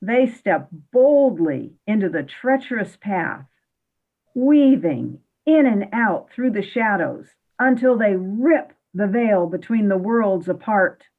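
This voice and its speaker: Lady as spooky solemn storyteller for a radio play, slow dramatic, sounds like a phone line. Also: medium pitch, expressive. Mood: solemn mystery. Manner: measured, dramatic, drawn-out. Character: solemn, mysterious storybook narrator. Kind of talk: scripted poetic radio play narration.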